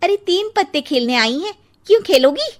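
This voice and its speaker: A woman's voice: very high voice, sing-song, moderate pace. A woman voicing a brash neighbourhood gossip in a cartoon story, with a mocking, confrontational manner. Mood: taunting. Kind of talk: Hindi cartoon story voice acting.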